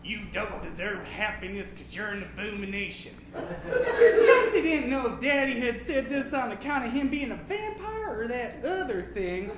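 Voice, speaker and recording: A man's voice: extremely high pitch, big pitch swings, normal speed. A man voicing a vain, absent-minded old vampire, reading a comic storybook aloud in a melodramatic, pompous, campy manner. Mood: petulant. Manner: melodramatic, pompous, campy, hushed then suddenly loud. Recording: echoey room, noisy live recording.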